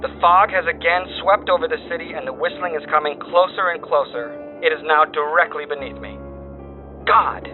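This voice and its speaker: Through a thin, phone-like radio band with loud music behind it comes a man acting as a doomed male wire operator. He is higher-voiced, terrified yet compelled to keep reporting, solemn and theatrical in this horror story. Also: moderate pace. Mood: horrified frenzy. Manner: solemn, theatrical, formal phrasing. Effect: radio filter. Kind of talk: dramatic horror story dispatch reading.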